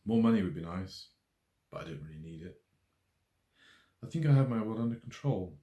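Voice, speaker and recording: A man's voice: fairly low pitch, expressive, a little fast, warm tone, muffled recording, lightly acted. Man reading as an introspective, self-doubting woman, echoey room. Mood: pensive, doubting. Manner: musing, questioning herself. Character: introspective, self-doubting single woman, fond of her cat. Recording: roomy echo.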